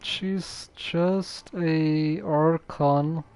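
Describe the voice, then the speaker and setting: A woman streamer giving casual, halting, deliberate commentary on a game. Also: low-pitched, fairly even intonation, a little mellow, smooth, faint music behind.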